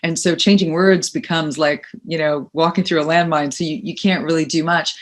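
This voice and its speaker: A woman's voice: deep voice, fairly even intonation, normal speed. A middle-aged woman, a voice-over coach and actress, answering interview questions with emphatic, practical advice. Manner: practical, emphatic, uses second person advice.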